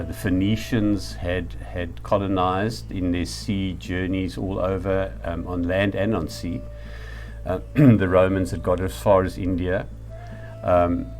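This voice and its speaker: This is a mature South African man with a low-pitched voice. He speaks in a reflective lecturing style, deliberate and emphatic in how he lays out each point. Faint music sits behind his words. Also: a little mellow. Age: middle-aged or older.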